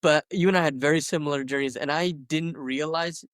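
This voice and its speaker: A man's voice: on the high side, no room sound, clean studio sound. A man from Pennsylvania hosting a podcast, a dentist himself, chatting eagerly and jumping in with his own story. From Allentown area, Pennsylvania, USA. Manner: eager, animated, quick to jump in. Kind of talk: casual conversation.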